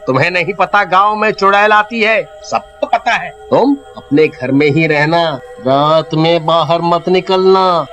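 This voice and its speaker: A man playing a superstitious, bossy, argumentative villager in an animated story. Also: high voice, exaggerated voice.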